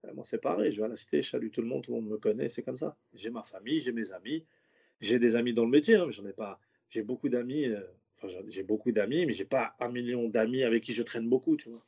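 Gravelly, mellow-voiced male comedian. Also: mid-range voice, fast. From French suburbs. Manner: loose, rambling, slangy talk with many restarts. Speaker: stand-up comedian, guest. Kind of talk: casual interview chat.

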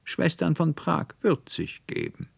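A man's voice: on the high side, big pitch swings, a little slow, creaky, husky, no echo, voice pushed up, exaggerated voice. A man voicing a smiling, dismissive doctor in a storybook reading, speaking in clipped singsong phrases. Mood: airy, dismissive. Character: terse, smiling male doctor, airily dismissive.

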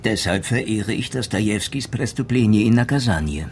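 An older police inspector in a radio crime drama. His voice is low and very animated, moving between dry irony and gruff command. There is a faint rumbling noise behind him. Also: brisk. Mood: dry, measured. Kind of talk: German radio crime play acting.

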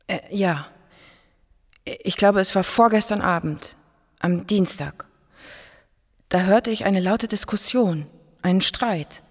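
An actress playing a hesitant, unsure neighbour giving a tentative witness account in a radio drama. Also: female voice, mid-range voice, slow, long pauses. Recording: long reverberant room.

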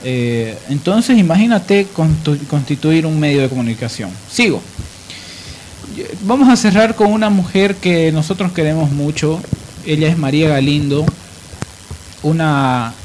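Male radio host, Bolivian accent, fairly high voice, emphatic explainer, some background noise. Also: darkish tone, low-quality recording. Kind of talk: radio discussion and biographical narration.

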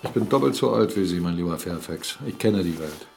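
A middle-aged man acting a gruff, commanding, sardonic captain and jealous fiancé in a German radio drama, mocking and blustering. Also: low-pitched, expressive, fairly quick, darkish tone, a little hiss or hum. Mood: jealous, threatening. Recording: studio radio drama with music underneath.

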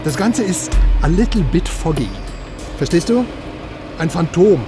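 Brief: chatty excitable informant, higher voice, lively swings, music behind. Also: male voice, a little slow. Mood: explaining. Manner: animated, talkative, excitable. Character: excitable male informant, chatty car fan. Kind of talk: radio drama acting.

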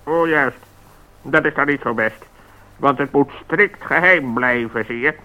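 A man's voice: medium pitch, measured pace, muffled recording, lightly acted. A man playing a fussy, flustered, secretive talking bird in a radio play, exasperated and correcting himself.

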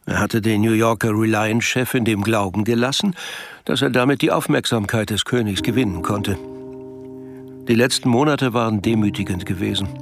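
A man's voice: fairly low pitch, normal speed, creaky, faint music behind. A man reading scripted literary narration for a radio play.

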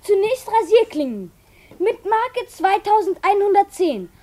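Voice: extremely high pitch, big pitch swings, a little slow, mellow, full character voice. A performer playing an eager, dutiful schoolboy son in a German radio play, reciting carefully.

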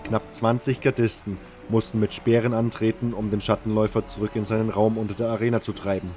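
A man's voice: lowish voice, flat delivery, fast talker, darkish tone. A man reading scripted first-person fantasy narration as the narrator of an audio drama. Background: music.

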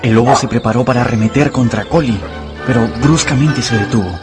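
A man's voice: medium pitch, brisk, over loud music. A man narrating a radio drama from a script in a storytelling style.

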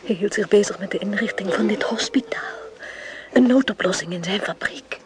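A woman's voice: mid-range voice, normal speed, crowd noise, close to an everyday voice. A woman playing a passionate, anguished wife in a radio play, breathless and melodramatic. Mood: hushed, tender. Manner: breathless, melodramatic, often hushed. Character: passionate, anguished married woman pining for her wounded lover. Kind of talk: emotional radio drama acting.

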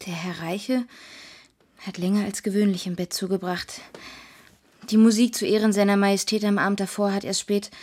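A woman's voice: medium pitch, flat delivery, moderate pace, a little mellow, close to an everyday voice. Deferential, hesitant housemaid played by a woman. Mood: hesitant, recounting. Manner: respectful, hesitant, plain-spoken. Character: deferential, discreet housemaid giving testimony. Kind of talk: German period radio drama acting.

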